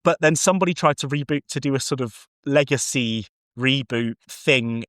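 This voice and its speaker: Male podcast guest, mid-pitched, effusive and chatty, giving casual reviews on a dry recording. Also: normal speed, fairly bright tone, no room sound. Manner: enthusiastic, effusive.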